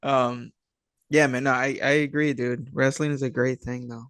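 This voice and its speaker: A man with a mid-pitched voice hosts a relaxed chat. He speaks in a halting, agreeable, slangy way, in a very dry, close sound. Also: dry recording. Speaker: podcast host. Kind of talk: casual chat.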